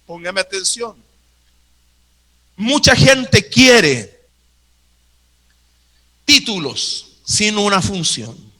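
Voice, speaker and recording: Male preacher, very high bright voice, emphatic sermon delivery that hammers repeated phrases. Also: very animated, extremely slow, long pauses. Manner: acts out Bible dialogue. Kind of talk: sermon to a live congregation.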